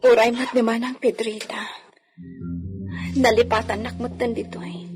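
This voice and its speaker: A woman with an Ilocano accent playing a gentle, neglected young lady of a hacienda in a radio drama. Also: a little high, little pitch movement, a little slow, soft background music, close to an everyday voice. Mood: hurt.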